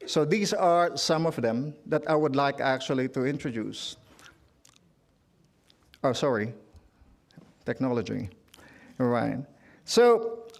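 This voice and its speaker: A middle-aged man from the Philippines with a Filipino accent, giving a formal speech as a union leader at a convention, measured, lifting his voice for quotes and punchlines. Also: a little high. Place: large convention hall.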